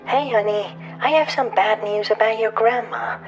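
A woman's voice: a little high, normal speed, dull audio, soft background music, natural voice. A woman voicing a concerned mother speaking to her young child in an analog horror cartoon. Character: mother gently asking her young daughter's help.

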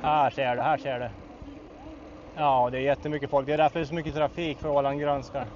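A man narrates a bicycle trip in a relaxed, chatty way, pointing out roads and landmarks as he rides. His voice sits in an ordinary middle pitch. Faint outdoor noise runs beneath it. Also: highs cut off. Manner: relaxed, switches between Swedish and English, points out sights along the route. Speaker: vlogger and tour guide. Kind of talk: casual travel narration while cycling.

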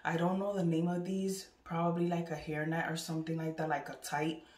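A woman's voice: lowish voice, flat delivery, brisk, a little mellow. A woman in her thirties chatting casually to a phone camera at arm's length, rambling with lots of fillers. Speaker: vlogger. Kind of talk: casual vlog chat. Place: bedroom, then a moving car. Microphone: handheld phone camera at arm's length.

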